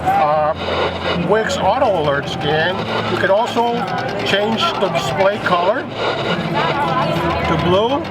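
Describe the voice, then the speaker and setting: A man's voice: high voice, takes it slowly, pauses often. A man from a radio company giving a step-by-step product demonstration on a busy trade show floor, explaining settings with some restarts. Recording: loud crowd and noise. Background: loud crowd chatter.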